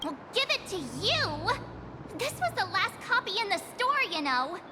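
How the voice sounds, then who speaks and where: An actress playing a perky, cheeky young girl with a soft heart in an anime-style video game. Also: extremely high pitch, normal speed, very noisy. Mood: sassy, puzzled. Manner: playful, sassy, expressive. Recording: loud background music under dialogue.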